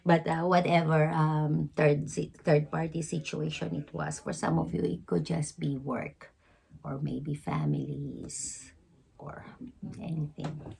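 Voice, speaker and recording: A woman giving an intuitive tarot reading, hoarse because she is losing her voice, speaking slowly with many halting pauses. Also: low-pitched, animated, darkish tone, low-quality recording. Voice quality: hoarse, gravelly. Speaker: tarot reader.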